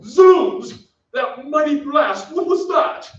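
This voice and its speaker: High-voiced theatrical old ghost. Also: male voice, lively intonation, slow pace, fairly bright tone, exaggerated voice. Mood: alarmed urging. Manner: theatrical, archaic speech. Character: fussy old aristocratic ghost uncle, archaic and cowardly. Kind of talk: old-time radio drama acting. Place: theatre stage. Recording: roomy, distant, dull, faintly noisy stage recording.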